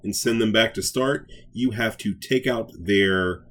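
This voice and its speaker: A man's voice: lowish voice, unhurried, fairly bright tone. A man co-hosting a casual pop culture podcast, playful and enthusiastic in the way he talks. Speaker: podcast co-host.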